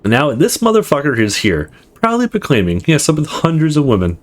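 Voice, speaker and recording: A man's voice: a little high, huge pitch swings, brisk, voice pushed up. A man voicing a teasing, foul-mouthed buddy in a visual novel, mocking and crude in manner. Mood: amused, mocking. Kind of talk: visual novel dialogue read aloud. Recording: music playing behind the voice.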